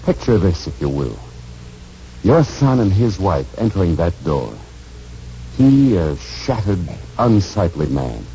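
An actor playing a grave, gentle Major in a 1940s radio drama, speaking formally and haltingly with theatrical earnestness. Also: male voice, sits low, big pitch swings, exaggerated voice. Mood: earnest, fervent. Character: gentle, grave older Major explaining a miracle. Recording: muffled, noisy 1940s radio recording.

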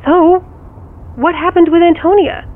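A woman's voice: very high voice, fairly even intonation, unhurried. A woman acting in an audio drama as a worried, blunt friend, heard through a phone call filter. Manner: blunt, exasperated, concerned. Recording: muffled phone call, faint car noise.

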